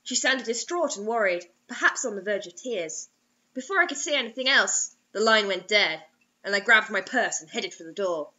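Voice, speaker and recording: A young adult woman giving an expressive, theatrical, mocking reading of a story on a webcam in a small room. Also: medium pitch, normal speed, muffled recording. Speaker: host reading bad fiction aloud.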